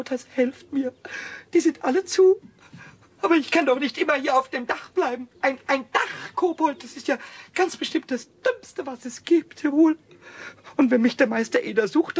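A man voicing a cheeky, excitable little kobold in a children's radio play, squeaky and shrill, stammering and repeating words. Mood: dismayed.

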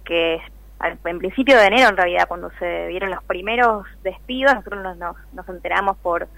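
A woman talks as a phone guest on a radio show. Her voice has a marked creak and sounds very dry and close, in an easy conversational style. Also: lowish voice, fairly quick, a bit bright, dry recording, low-quality recording. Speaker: interview guest, activist communicator. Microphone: telephone.

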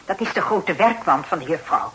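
Lively, nosy housemaid, noisy recording. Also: female voice, fairly low pitch, normal speed. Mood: chatty, curious. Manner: gossipy, prying, deferential. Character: chatty, nosy, respectful housemaid. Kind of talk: Dutch radio play acting. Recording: noisy old radio drama recording.

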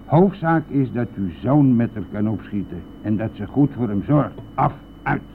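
A man's voice: mid-range voice, animated, normal speed, vocal fry. Through a narrow, tinny old radio recording with faint vehicle noise comes a chatty, nosy driver. He talks in a crude, colloquial, insistent way, pressing his listener as in a Dutch radio drama. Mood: reassuring. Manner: garrulous, colloquial, chuckling, insistent. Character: chatty, nosy male driver, crude and jovial, pestering silent passenger. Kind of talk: Dutch radio play acting.

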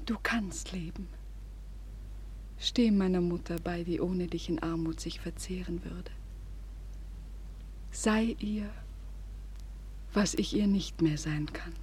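An actress playing a despairing young woman in a 1940s German radio drama, with slow, breathy, solemn declamation on a noisy old recording. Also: on the low side, a little mellow. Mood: tender, resigned. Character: despairing young woman bidding farewell before suicide.

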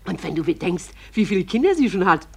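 An actress in a radio play as a gossiping, chattering village wife. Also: female voice, lowish voice, very animated, fast talker, creaky, slightly rough, full character voice. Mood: gossipy.

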